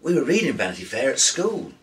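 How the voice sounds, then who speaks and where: A man's voice: mid-range voice, huge pitch swings, a little fast, a bit bright, recorded from a distance, a little hiss or hum, pitched above the performer's own voice. A man voicing a shy, nervous, polite teenage girl in a storybook, with a hesitant and flustered manner. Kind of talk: audiobook character voice.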